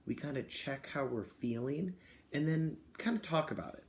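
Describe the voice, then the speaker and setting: A man's voice: fairly high pitch, normal speed. Male kindergarten teacher over noticeable background noise. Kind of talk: read-aloud lesson addressed to young children and parents.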